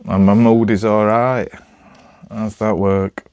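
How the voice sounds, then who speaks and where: A man's voice: fairly low pitch, flat delivery, slow pace, darkish tone, smooth, no echo. A man with a Northern English accent chatting casually to camera, rambling in a hesitant, self-deprecating way. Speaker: amateur vlogger.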